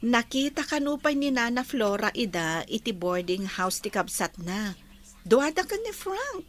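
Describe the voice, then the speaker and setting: A woman's voice: a little high, sing-song, measured pace. An actress with a Filipino accent playing an earnest, concerned young woman in a radio drama.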